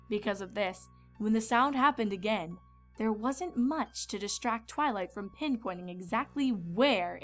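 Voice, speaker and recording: A woman reading scripted storybook narration as the narrator. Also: on the high side. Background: background music.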